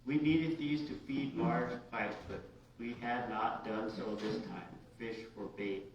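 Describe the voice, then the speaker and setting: A man from the United States, a writer reading his own short story aloud in a halting, informal way. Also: mid-range voice, steady tone. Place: large echoey indoor room at a live reading. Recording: very echoey room, distant dull mic, hiss.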